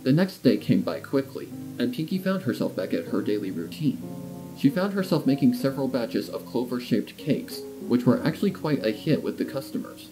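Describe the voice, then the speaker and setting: A man's voice: medium pitch, very animated, a little fast, poor audio. A man reading scripted storybook narration as the narrator of a fan fiction. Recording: background music under the voice.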